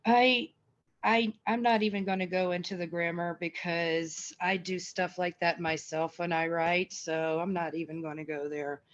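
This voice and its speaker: A woman giving casual, reflective feedback on a video call, thinking aloud as she goes. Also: lowish voice. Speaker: table read participant and writer. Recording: dull lo-fi video call audio.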